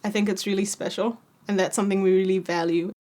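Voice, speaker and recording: A woman's voice: medium pitch, steady tone, fast talker, a little mellow. A young woman from Zambia, a student, speaking candidly in a documentary voice-over, often saying "like". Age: young adult. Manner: candid, conversational, filler "like". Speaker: university student.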